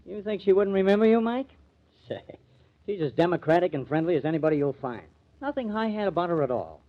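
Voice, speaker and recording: An actor playing a glib, smitten, self-important small-time press agent in a 1950s radio drama. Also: male voice, high-pitched, expressive, quick pace. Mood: smitten, smug. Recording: dull lo-fi old radio recording.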